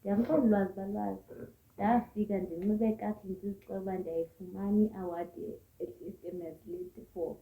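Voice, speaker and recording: A woman's voice: mid-range voice, moderate pace, vocal fry. A woman telling stories in a casual podcast chat, mixing English and Xhosa and laughing often. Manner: animated storyteller, switches between English and Xhosa, laughs often. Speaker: podcast host. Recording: echoey room, phone-like sound.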